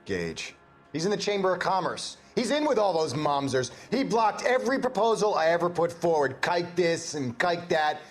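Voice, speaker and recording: An actor playing a defensive, bitter, hot-tempered American shopkeeper under police questioning in a video game. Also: male voice, high-pitched, very animated, moderate pace, faint music behind. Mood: bitter outrage. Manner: agitated, indignant. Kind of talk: video game cutscene acting.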